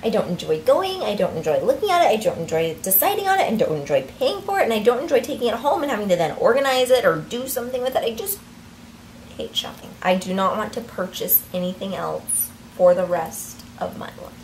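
Woman, thirties, gravelly voice, emphatic vlog rant, faint background noise. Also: medium pitch, very animated, fairly quick, darkish tone, poor audio. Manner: emphatic, exasperated listing. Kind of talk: casual vlog chat.

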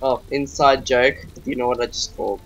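A man's voice: mid-range voice, fairly quick, fairly bright tone, highs cut off. A young man with a cold, casually commentating a game he is playing, joking and trash-talking as he goes. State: has a cold. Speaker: gaming channel host. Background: game music.

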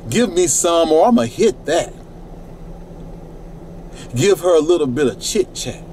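A man's voice: a little high, sing-song, measured pace, dark tone, a little hiss or hum. A middle-aged man reciting his own rhyming spoken word poetry in a laid-back, rhythmic way from inside a parked minivan at night.

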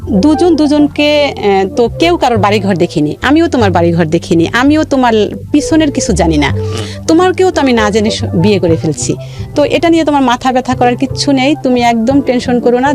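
Female interview guest, Bangladeshi accent, higher-pitched, detailed and halting personal storyteller, clear music bed underneath. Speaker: guest telling own life story. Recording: music playing behind the voice. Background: background music.

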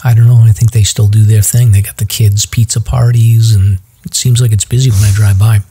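A man's voice: on the low side, flat delivery, brisk, dark tone, slight background noise. A middle-aged man, hosting a podcast in casual, rambling chat. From Massachusetts. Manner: chatty, rambling with fillers. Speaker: podcast host.

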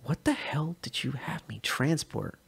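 A man voicing a wary male cargo hauler who keeps his voice to a hushed whisper. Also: medium pitch, animated, measured pace, creaky, breathy edge, pitched above the performer's own voice, exaggerated voice.

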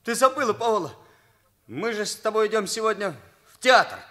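A naive, impulsive schoolboy in a Soviet radio drama. His voice is high and bright, leaping about with earnest ardor. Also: lively intonation, normal speed. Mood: insistent. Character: naive, ardent teenage schoolboy. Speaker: stage actor. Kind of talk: Soviet radio play acting.

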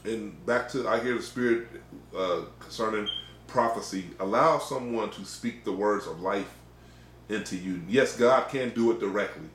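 Middle-aged american guy preaching, repeats stuff for emphasis, medium pitch, roomy echoey sound. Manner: exhorting, emphatic repetition. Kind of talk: informal sermon. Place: small indoor room.

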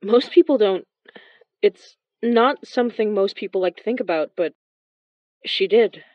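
A woman's voice: mid-range voice, measured pace, dry recording, muffled recording, natural voice. A woman playing a reflective, wistful young immigrant woman confiding a horror podcast monologue. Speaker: narrator and lead of a horror fiction podcast. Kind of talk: audio drama monologue, confiding as in a voice message.

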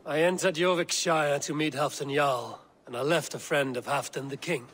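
Game role: male actor as steady Norse raider chief, slightly high voice, measured, light background ambience. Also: a little hiss or hum, natural voice. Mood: calm, resolute. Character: steady, stern Viking warrior clan leader. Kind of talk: video game cutscene acting.

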